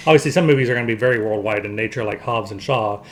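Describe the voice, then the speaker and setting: A man's voice: lowish voice, very fast. A man co-hosting a podcast, chatting casually through a round-up of entertainment news. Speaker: podcast co-host. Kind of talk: casual box office round-up chat.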